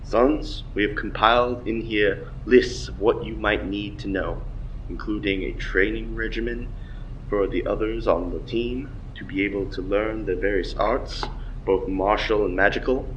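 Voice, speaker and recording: Low-voiced solemn hero father played by a man. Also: steady tone, lightly acted. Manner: measured, instructive. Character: solemn superhero father leaving a recorded message. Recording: dull, noisy video playback.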